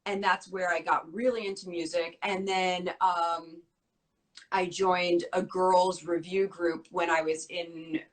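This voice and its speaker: A young adult woman talking in a casual interview, chatty and quick with lots of filler words, her voice worn from losing it. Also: fairly low pitch, little pitch movement, low-quality recording. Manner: fast, bubbly talk with many "like" fillers. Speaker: interview guest.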